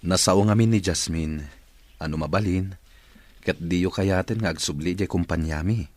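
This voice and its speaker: A man's voice: deep voice, moderate pace, dry recording. An actor with an Ilocano Filipino accent playing a courteous, kindly male company boss in a radio drama.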